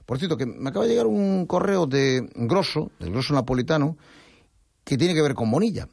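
A man's voice: on the high side, lively intonation, fairly quick, creaky, darkish tone, husky, dry recording, clean studio sound. A man hosting a radio show, chatting and reading news with many fillers and restarts. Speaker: radio host. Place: radio studio.

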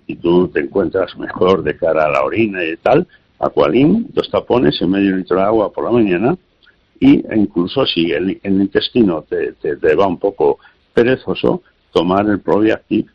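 Man, radio health advisor, gravelly, hesitant with fillers, dull lo-fi sound. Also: low voice, lively intonation, moderate pace. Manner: hesitant, repeats words, many fillers. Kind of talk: radio call-in advice.

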